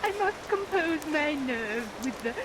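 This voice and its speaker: A woman's voice: high-pitched, animated, measured pace. An actress playing a pious, middle-aged martyr of a mother in vintage radio melodrama, fussy and long-suffering. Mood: self-pitying. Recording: vintage radio recording under rain noise.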